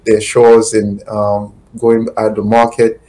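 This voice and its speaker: A middle-aged man from Haiti answering interview questions slowly over a video call, pausing often with fillers. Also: fairly low pitch, monotone, mellow, poor audio. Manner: slow, halting, many fillers. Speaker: guest, attorney. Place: home office, indoors. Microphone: webcam video call.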